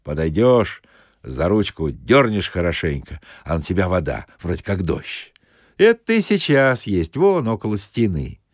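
A man voicing a chatty, lively rustic lad. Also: deep voice, measured pace, no echo, studio quality, exaggerated voice.

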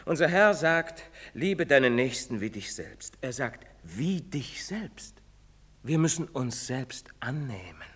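Priest,, earnest and insistent, radio play in a reverberant space. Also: male voice, medium pitch, very animated, takes it slowly, a bit bright, husky, heavily acted. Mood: urging. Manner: preaching, insistent, dropping to guilty whispers. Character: earnest priest urging a young man. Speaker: actor. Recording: long reverberant studio echo.

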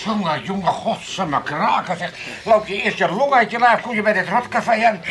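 A man's voice: high-pitched, normal speed, fairly bright tone. An actor playing a cursing, grumbling older working-class man with an Amsterdam accent in a comic 1980s radio drama, over faint noise. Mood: exasperated ranting. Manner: ranting, grumbling.

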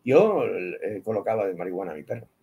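Gravelly husky man riffing deadpan. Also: fairly low pitch, a little fast, dull audio. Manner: rambling deadpan absurd reasoning. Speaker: comedian guest. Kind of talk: casual comedic chat.

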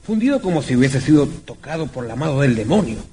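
A man's voice: medium pitch, big pitch swings, quick pace, dark tone, natural voice. An actor playing a blunt, baffled male forensic doctor in an old radio drama, speaking emphatically. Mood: wry, baffled. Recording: old radio recording, faint noise.

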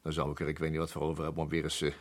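An actor playing a blunt, world-weary colonial army captain in a radio play, talking in a familiar, musing way. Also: male voice, a bass voice, completely flat delivery, very fast. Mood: wistful.

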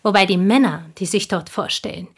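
An actress playing a bitter, proud, unmarried village woman in a radio play, speaking deliberately and with scornful intensity in a husky voice. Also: mid-range voice. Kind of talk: German radio play acting.

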